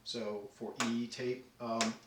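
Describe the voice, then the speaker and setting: A man giving an unscripted technical walkthrough, explaining things in a halting, methodical way. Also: mid-range voice, little pitch movement. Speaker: audio deck restorer demonstrating work. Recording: roomy, distant mic, faint noise.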